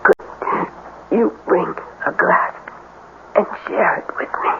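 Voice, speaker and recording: A tender, otherworldly swamp woman played by a radio actress, dying and beguiling. She speaks very slowly in a hushed, dramatic way, through a tinny, noisy old radio broadcast. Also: close to an everyday voice. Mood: tender, hypnotic. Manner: slow, halting, dramatic phrasing.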